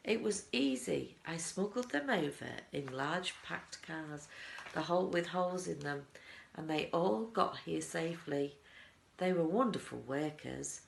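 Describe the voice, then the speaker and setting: Woman doing a proud quirky chocolate factory man, storybook, creaky, lots of relish, echoey. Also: on the low side, expressive, a little slow, slight background noise, exaggerated voice. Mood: proud explaining. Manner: proud, emphatic, relishing the tale. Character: proud eccentric male chocolate maker, grand storyteller.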